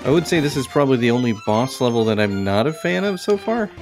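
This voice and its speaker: A man giving casual let's play commentary as a game streamer, thinking aloud in a halting way. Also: mid-range voice, very animated. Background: game music.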